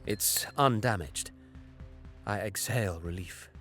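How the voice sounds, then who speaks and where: A man playing a cruel, haughty alien raider warrior who narrates his hunt in a deliberate, menacing, disdainful way. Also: lowish voice, a bit bright. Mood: predatory, intent. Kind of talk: scripted dramatic first-person narration. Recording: music behind the voice.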